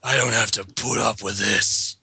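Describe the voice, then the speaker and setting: Bright-voiced man reading aloud to friends. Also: lowish voice, fairly even intonation, normal speed, breathy edge, no room sound. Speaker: reader in a group dramatic reading. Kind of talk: mock dramatic reading with casual banter.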